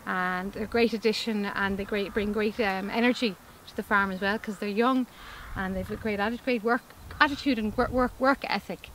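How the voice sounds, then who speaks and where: A woman's voice: medium pitch, fairly even intonation, normal speed, hardly any pauses, fairly bright tone, slight background noise, rough recording. A woman in her forties from Ireland, an organic farmer talking upbeat and chatty in an outdoor interview, often restarting her sentences. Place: outdoors in a vegetable field. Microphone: camera mic, standing interview.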